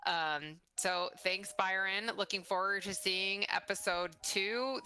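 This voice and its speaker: A woman's voice: medium pitch, steady tone, takes it slowly, bright, forceful tone, clean tone. A middle-aged woman interviewing in a casual, chatty way, with an encouraging, supportive manner. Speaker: interviewer, mother of the guest.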